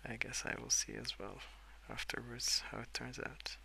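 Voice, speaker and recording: A young adult man softly rambling in a breathy half-whisper for ASMR, relaxed and unhurried, close to the microphone. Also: mid-range voice, a little hiss or hum. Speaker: ASMR video creator. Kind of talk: soft-spoken ASMR rambling while colouring.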